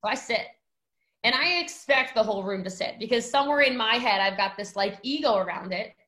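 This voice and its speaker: A woman in her thirties, a guest telling a story in casual chat, animated and acting out quotes with lots of fillers. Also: a little high, fairly quick.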